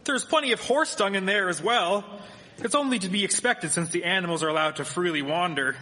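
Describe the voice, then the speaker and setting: Radio drama: male actor as polite Victorian village gentleman, high forceful voice, formal diction, theatrical, echoey hall. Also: fairly quick. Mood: earnest. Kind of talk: live radio drama acting. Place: performing arts theatre stage. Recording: echoey hall, live stage performance.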